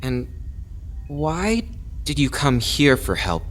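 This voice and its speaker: A man's voice: mid-range voice, very animated, slow, fairly bright tone, faint music behind. An actor playing a skeptical, dry-humored man who asks probing questions casually in an audio drama.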